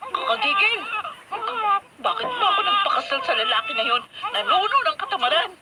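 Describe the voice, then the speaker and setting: A Filipino working mother in a radio drama, scolding and exasperated. Her high voice swings widely as she nags, amid very loud background noise. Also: big pitch swings, fairly quick, far from the mic. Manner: nagging, emphatic, exclamatory. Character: fed-up, scolding working young mother and wife. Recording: very noisy radio drama broadcast.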